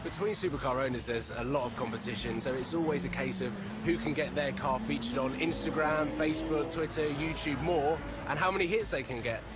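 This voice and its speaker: A man's voice: on the high side, a little fast, hardly any pauses, very noisy. A man talking in a plain, matter-of-fact way in a casual interview. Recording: speech over loud music.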